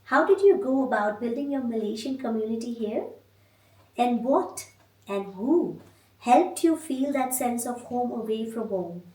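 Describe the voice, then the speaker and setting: A woman from India hosting an interview, asking measured, reflective questions. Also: fairly high pitch, animated, dark tone. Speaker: podcast host. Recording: echoey room, mic at a distance.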